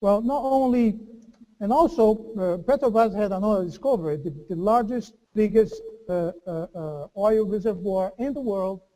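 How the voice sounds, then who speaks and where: A man giving a conference speech as a chamber of commerce director, halting, with many fillers and restarts. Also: high voice, mellow, poor audio.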